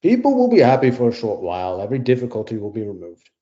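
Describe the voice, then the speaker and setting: A man acting a blunt, mellow-voiced tech zealot. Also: medium pitch, wildly swooping pitch, fast, highs cut off. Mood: coolly fatalistic. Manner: blunt, dismissive, insistent. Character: cold, dismissive male tech advocate, secretly alien. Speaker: debater. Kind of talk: audio play acting.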